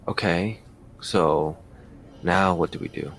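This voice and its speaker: An actor playing a skeptical, weary police detective who presses quietly in an audio drama. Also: male voice, sits low, takes it slowly, heavily acted. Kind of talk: audio drama acting, interrogation and confessional narration.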